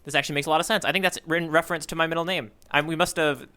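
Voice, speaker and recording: A man's voice: fairly high pitch, steady tone, rapid-fire, bright, forceful tone. A man co-hosting a podcast in casual, playful chat with jokey asides. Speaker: podcast co-host.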